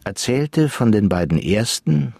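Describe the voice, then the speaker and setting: A man as the sober, measured narrator of a radio crime drama, reading literary prose. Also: on the low side, sing-song, creaky, darkish tone, no echo, clean studio sound, exaggerated voice. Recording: faint music under the voice.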